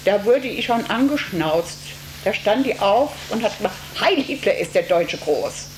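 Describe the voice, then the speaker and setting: A woman in her seventies or older giving a personal recollection as an eyewitness, retelling events plainly with remembered dialogue. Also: mid-range voice. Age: 70s or older. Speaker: eyewitness, concentration camp survivor. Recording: noisy interview recording with steady hiss.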